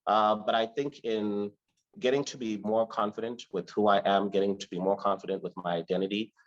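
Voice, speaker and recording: A man from Zimbabwe with a deep, low voice answers interview questions slowly and reflectively. He hesitates often, filling gaps and restarting his thoughts. The sound is somewhat muffled and lo-fi. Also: fairly even intonation. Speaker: artist guest. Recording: slightly dull lo-fi recording.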